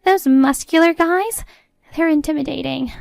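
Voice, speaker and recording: A woman's voice: high voice, moderate pace, creaky, no echo, clean studio sound, voice pushed up. A woman voicing a haughty, meddling middle-aged mother-in-law in a story, agitated and indignant. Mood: panicked.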